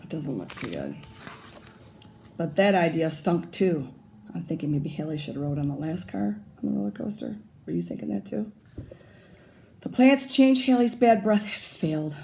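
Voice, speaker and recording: A woman teacher reads a picture book aloud to young students. She stops often to comment and to ask the children questions. Her voice sits low and mellow, with a gravelly fry, and a faint hum of room noise stays under it. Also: expressive, normal speed.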